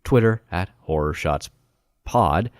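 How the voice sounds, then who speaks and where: A man hosting a podcast, chatting in a relaxed, upbeat way with his guest and wrapping up the show. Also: low-pitched, slow, no room sound, studio quality. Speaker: podcast host. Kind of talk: casual interview and outro.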